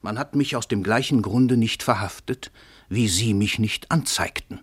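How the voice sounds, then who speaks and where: An actor playing a suave, cynical, grandiose celebrity writer who is also a murderer, condescending and theatrical, in a radio play. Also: male voice, fairly low pitch, moderate pace, breathy edge, no echo, clean studio sound. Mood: cynical, emphatic.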